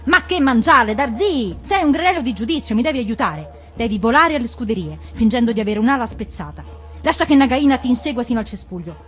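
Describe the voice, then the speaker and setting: Woman voicing a brisk, bossy mongoose heroine. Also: on the high side, expressive, fairly bright tone, faint music behind. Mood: impatient, commanding. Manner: brisk, commanding. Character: brave, bossy, determined female mongoose.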